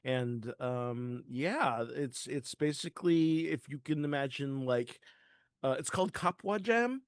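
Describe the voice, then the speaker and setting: A man's voice: mid-range voice, lively intonation, unhurried, clean tone, no echo, studio quality. A man from San Diego co-hosting a podcast in casual chat, hesitant with many ums. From San Diego, California. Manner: hesitant, full of ums and restarts. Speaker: podcast co-host.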